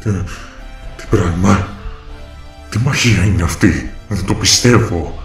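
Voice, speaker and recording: A man's voice: sits low, roomy sound, soft background music. A man voicing a villainous cartoon lord of fear who sounds alarmed. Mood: startled.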